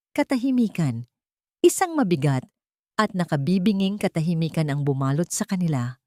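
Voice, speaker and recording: A woman's voice: lowish voice, a little mellow, dry recording, clean studio sound. A woman narrating a scripted dramatic story.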